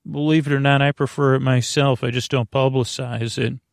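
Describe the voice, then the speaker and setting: A man hosting a bedtime podcast in a meandering, halting monologue, trailing off and restarting his thoughts, with a gravelly, creaky voice. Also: mid-range voice, level tone, no room sound, studio quality. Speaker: podcast host. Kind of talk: rambling bedtime monologue to help listeners sleep.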